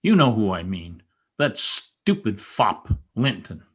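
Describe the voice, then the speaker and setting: A man's voice: on the low side, sing-song, slow, breathy edge, exaggerated voice. A man playing a bitter, lovesick young stable hand, passionate and brooding, in old-time radio drama style. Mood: jealous, demanding. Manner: intense, scornful and pleading. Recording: amateur radio play recorded over video call.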